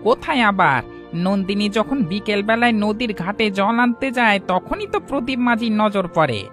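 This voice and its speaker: A woman's voice: medium pitch, expressive, moderate pace, a bit bright, natural voice. A woman acts out a village husband and father for a cartoon. He is a schemer, sly and full of brags. Faint music runs under the voice. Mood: sly, boastful. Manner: crafty, bragging. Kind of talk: cartoon dialogue.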